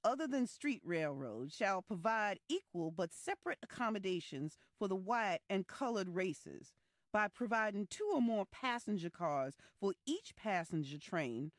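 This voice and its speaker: A woman reading historical legal text aloud, formally and in measured phrases. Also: mid-range voice, dry recording, clean studio sound.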